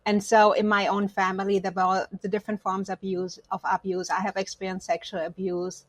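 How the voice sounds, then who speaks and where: A woman's voice: mid-range voice, little pitch movement, a little fast, no echo. A woman from Germany, not an English speaker, speaking reflectively about personal matters as an interview guest. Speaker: podcast guest, counselor.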